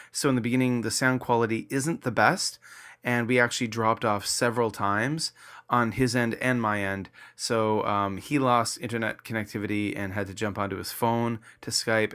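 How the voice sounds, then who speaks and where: Bright, chatty podcast host man. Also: fairly low pitch. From Nova Scotia, Canada. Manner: upbeat, chatty with many fillers. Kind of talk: casual podcast hosting. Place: small home studio room with a floor fan.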